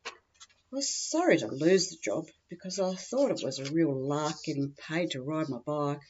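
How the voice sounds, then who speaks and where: A woman's voice: low voice, animated, moderate pace, a little mellow, lo-fi sound, slight background noise. A woman with an Australian accent reading a scripted humorous first-person story in a dry, matter-of-fact comic way. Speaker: humorous writer reading their own story.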